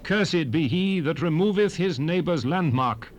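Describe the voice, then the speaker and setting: A man playing a solemn prophet, reciting scripture in a measured, declamatory way for a dramatized Bible recording. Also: on the high side, animated, highs cut off. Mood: solemn, stern. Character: solemn male prophet proclaiming blessings and curses. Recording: hissy 1970s cassette recording.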